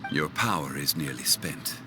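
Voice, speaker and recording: A composed, ancient god in mortal guise for a video game. His voice is low, his phrasing formal and understated. Music sits clearly underneath. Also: normal speed. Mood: calm, resolute. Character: calm, stoic ancient god in human form. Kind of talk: dramatic video game voice acting.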